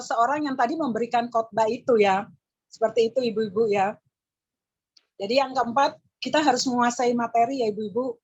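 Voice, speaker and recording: A woman's voice: on the high side, no room sound, muffled recording. An Indonesian woman with an East Javanese accent teaches public speaking to a group of women over a video call, going through numbered tips and addressing them as ladies; her throat is tired and strained. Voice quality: husky. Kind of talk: teaching an online class for women.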